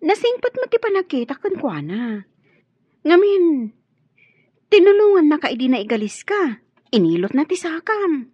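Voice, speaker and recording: A woman's voice: high voice, sing-song, normal speed, pauses often, no echo. A woman playing a teasing, nosy friend and confidante in a radio drama. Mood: coaxing. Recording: muffled, lo-fi radio drama audio.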